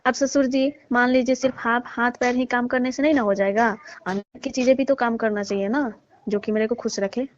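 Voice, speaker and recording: A young newlywed daughter-in-law, played by a woman in a phone-call drama. She complains insistently in everyday speech, feeling wronged. The sound is dry and dull, like low-grade call audio. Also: steady tone, a little fast, no echo, close to an everyday voice. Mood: complaining, insistent.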